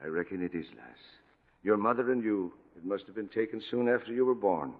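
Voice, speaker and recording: An actor playing a gruff but tender old lighthouse keeper in a radio drama, speaking affectionately in folksy seafaring dialect. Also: male voice, lowish voice, expressive, brisk. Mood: tender, wistful. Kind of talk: 1940s radio acting. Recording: dull vintage radio broadcast, long reverb.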